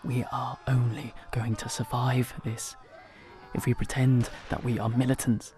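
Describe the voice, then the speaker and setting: A male actor as an anguished, protective uncle in an audio drama. He pleads urgently at a middling pitch, desperate and worn down by captivity. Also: flat delivery, a little slow, dark tone, close to an everyday voice. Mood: urgent, hushed.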